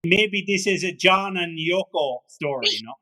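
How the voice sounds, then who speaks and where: Jokey male interviewer with a bright voice. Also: high-pitched, expressive, normal speed. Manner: rambling, jokey questions with restarts. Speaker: podcast host, interviewer. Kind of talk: casual interview.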